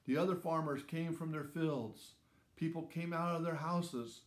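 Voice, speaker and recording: A man in his sixties reading a children's story aloud in simple, measured phrases with dramatic emphasis. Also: a little high, steady tone. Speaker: storybook reader. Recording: roomy echo around the voice.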